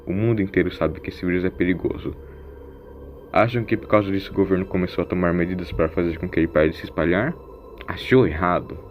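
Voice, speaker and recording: Audio-story wanderer, acted by a male narrator: bitter, sarcastic, lecturing, lowish pitch, slightly muffled recording. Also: a little hiss or hum, natural voice. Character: sarcastic, embittered male wanderer, mysterious and preachy.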